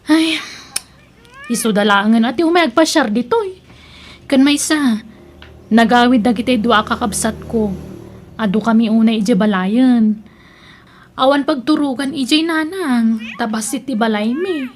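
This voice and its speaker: A woman's voice: on the high side, measured pace, pauses often. A woman acting an apologetic young daughter-in-law in an Ilocano radio drama, defensive and pleading. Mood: explaining. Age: young adult.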